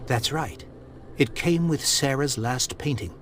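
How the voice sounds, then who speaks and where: A man's voice: mid-range voice, measured pace, a bit bright, natural voice. Brief: male actor for wealthy elderly father, haughty yet desperate, formal diction, medium register, period video game, faint noise behind. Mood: solemn, urgent. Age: older. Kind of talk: video game voice acting, period mystery drama.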